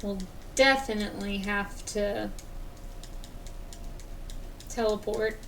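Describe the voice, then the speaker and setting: A woman's voice: medium pitch, fairly even intonation, slow pace, a bit bright. A woman streamer giving casual live game commentary and reading text aloud. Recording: distant mic with background noise.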